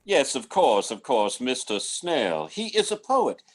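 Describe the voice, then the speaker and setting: A man's voice: mid-range voice, big pitch swings, unhurried. A man acting a genteel, eerie night dweller in a radio drama, fussy, fluttery and effusively polite, with hesitant speech. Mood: fussy, placating.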